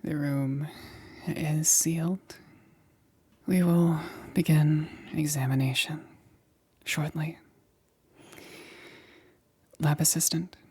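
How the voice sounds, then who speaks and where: A woman whispering breathily in an ASMR roleplay as a careful scientist, speaking in a deliberate, clinical, instructing manner. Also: deep voice, fairly even intonation, darkish tone, husky, dry recording. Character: careful, excited female scientist examining an alien specimen.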